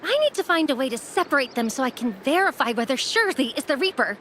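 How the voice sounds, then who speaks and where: A young anime game character with a high voice. She muses to herself, brooding and softly determined. A faint ambient noise sits behind the voice. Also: sing-song, fast talker. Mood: pained musing. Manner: musing to herself. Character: brooding young woman fixated on her reaper, softly determined.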